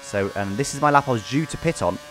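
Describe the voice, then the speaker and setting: A man's voice: mid-range voice, fast, fairly bright tone, a little hiss or hum, low-quality recording. A man giving casual, explanatory commentary over his own racing game race, recapping as he goes.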